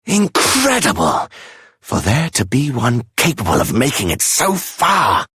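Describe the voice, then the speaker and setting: A grand fantasy game dignitary, formal and declamatory. The voice is extremely husky and close and dry, rising and falling expressively. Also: male voice, medium pitch, huge pitch swings, a little slow, a bit bright, no echo, full character voice. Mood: grand, solemn. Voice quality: very raspy. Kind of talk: video game character acting.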